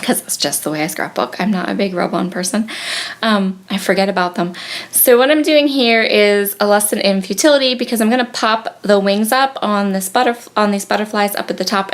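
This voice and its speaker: A woman's voice: mid-range voice, normal speed. Woman with a cold, sniffly, casual crafting voice-over, chatty with ums. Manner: chatty, frequent ums and restarts. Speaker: scrapbooking video creator. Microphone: tablet on a gooseneck.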